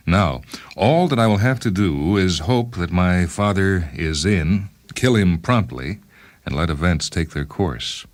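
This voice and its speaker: A man plays an obsessive time-travelling inventor telling his own story. The voice is very deep, deliberate and pedantic, coolly self-absorbed. Music runs behind the narration. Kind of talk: first-person dramatized short-story narration.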